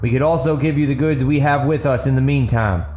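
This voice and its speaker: A man's voice: mid-range voice, fairly quick, darkish tone, roomy sound, soft background music, voice pushed up, close to an everyday voice. A man voicing a fierce, protective husband who leads an armed party in an audiobook drama. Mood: placating.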